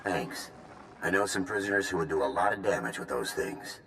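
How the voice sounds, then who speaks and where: An actor playing an earnest, duty-bound military officer in a video game. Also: male voice, sits low, lively intonation, fairly quick, a bit bright, slight background noise, rough recording. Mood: earnest urgency. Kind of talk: dramatic video game voice acting.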